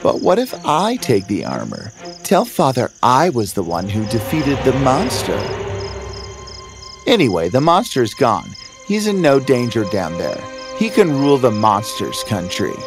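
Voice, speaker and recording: Scheming, animated elder prince. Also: male voice, medium pitch, unhurried. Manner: calculating, self-justifying. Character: scheming, selfish elder prince, treacherous brother. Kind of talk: children's fairy-tale cartoon villain acting. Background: prominent background music.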